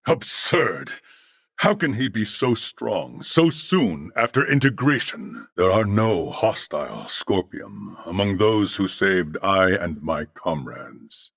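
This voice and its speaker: Slow, gravelly, noble male cyborg. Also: lowish voice, a bit bright, no room sound, studio quality, exaggerated voice. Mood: alarmed, indignant, then earnest, diplomatic. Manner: formal, measured, solemn diction. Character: armored cyborg humanoid, male, courteous, earnest and noble. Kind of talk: video game character voice acting.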